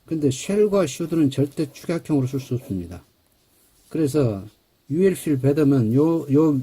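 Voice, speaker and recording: A male grammar lecturer with a very dark, mellow tone and a husky edge to his voice. He walks through each point step by step and slows right down to read English example sentences aloud. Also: mid-range voice.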